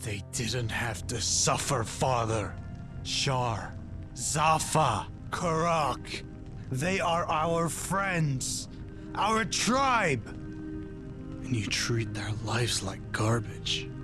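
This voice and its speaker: Guy playing a defiant young tribesman, emotional, slow delivery, music behind him, space audio drama. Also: medium pitch, expressive. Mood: bitter.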